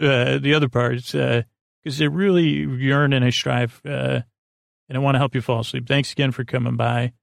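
Male sleep podcast host, middle-aged, mid-pitched and croaky, silly meandering monologue with repeats and unfinished thoughts. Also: no echo, studio quality. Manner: silly, whimsical. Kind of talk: rambling bedtime monologue.

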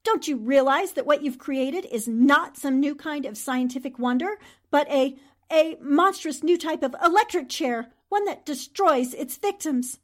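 A woman's voice: high-pitched, lively intonation, a little slow. A woman playing a bold, indignant newspaperwoman in an emphatic, dramatic 1940s radio drama style. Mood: outraged.

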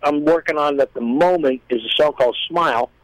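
A man chatting casually as a guest, explaining things in a rambling, halting way. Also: on the high side, dry recording, rough recording. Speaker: guest, musician. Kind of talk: casual chat.

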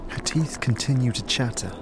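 A man's voice: mid-range voice, moderate pace, gravelly, music in the background. A man giving dramatic scripted narration as the storyteller of an audio drama. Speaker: narrator and game master-style storyteller, also voicing the hero.

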